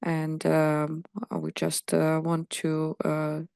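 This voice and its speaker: A woman from Finland asking a question in an online workshop, pausing often with fillers. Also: low-pitched, monotone, gravelly, a little mellow, smooth, dry recording, studio quality. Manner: hesitant, many fillers. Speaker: workshop participant.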